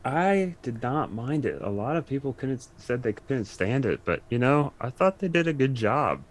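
A man's voice: medium pitch, big pitch swings, moderate pace. A man chatting casually as a podcast guest, in a laid-back conversational way. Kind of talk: casual chat.

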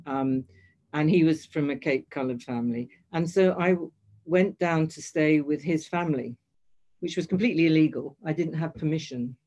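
Older woman with a low voice, hesitant. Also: moderate pace, mellow. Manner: hesitant, many restarts and self-corrections. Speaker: guest. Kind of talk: reflective storytelling in conversation.

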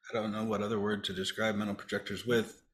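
Male podcast host, low register, conversational, halting delivery that often restates phrases. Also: completely flat delivery. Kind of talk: casual conversational discussion.

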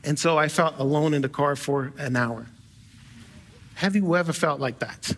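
A man from Brazil delivers a sermon in a church, his voice pitched a little high and sounding bright and forceful. He talks in a loose, conversational way, restarting phrases and slipping in small jokes. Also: moderate pace. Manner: conversational with light humor and frequent self-corrections. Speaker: guest pastor. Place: church sanctuary with a live congregation.

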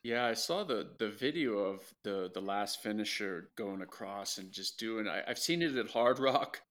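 A man's voice: fairly low pitch, lively intonation, normal speed, fairly bright tone. A man co-hosting a running podcast in a casual interview, often repeating words as he starts his sentences. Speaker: podcast co-host.

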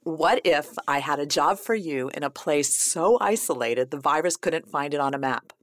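A woman's voice: deep voice, animated, normal speed, no echo, clean studio sound. Swooping, theatrical female playwright. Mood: sly, scheming. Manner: melodramatic, emphatic. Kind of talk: radio play dialogue.

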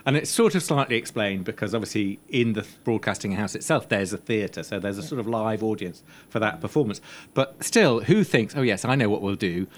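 A man's voice: lowish voice, animated, fairly quick, hardly any pauses. A man talking informally on a film panel in a discursive way, often saying "like" and "sort of", recorded live at an event. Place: live post-screening event before an audience. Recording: clearly noisy live room recording.

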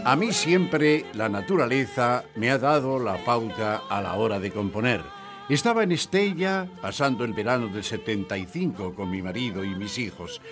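A man's voice: medium pitch, animated, moderate pace, music in the background, natural voice. A man playing a polite, patient radio contest host, presenting in a radio theatre show. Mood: measured announcing.

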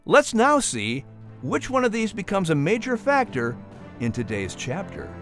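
Animated man narrating and hosting. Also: high-pitched, unhurried, over music. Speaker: host and narrator.

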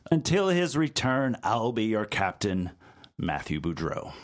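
A man's voice: lowish voice, big pitch swings, unhurried. A man hosting a podcast with a theatrical scripted introduction and playful pirate flourishes. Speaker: podcast host.

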